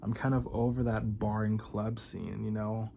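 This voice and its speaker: Man, early thirties, lowish voice, candid and rambling, lots of fillers, confessional vlog delivery. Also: little pitch movement, moderate pace, vocal fry, dark tone, a little hiss or hum. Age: 30s. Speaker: vlogger. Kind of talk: confessional talk to camera.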